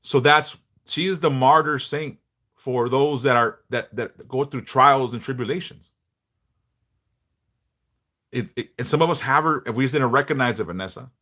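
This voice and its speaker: Big-voiced blunt man, bright tone. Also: normal speed. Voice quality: big voice with bass. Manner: blunt, passionate, swears freely. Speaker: livestream host, devotional teacher. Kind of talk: casual livestream chat.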